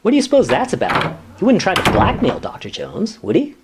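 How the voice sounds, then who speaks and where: A man's voice: sits high, wildly swooping pitch, brisk, very noisy, heavily acted. A man playing an earnest, defensive commission staff lawyer in a sitcom-style radio play, insistent and matter-of-fact. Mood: wary.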